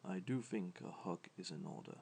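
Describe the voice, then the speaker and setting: A man acts out an ancient, kindly god in a comfort roleplay. The voice is very low and gravelly, kept soft and tender, with modest, careful phrasing. A faint wash of noise sits behind it. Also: animated, normal speed. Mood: tender, reassuring. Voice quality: low, soft, creaky, breathy at times.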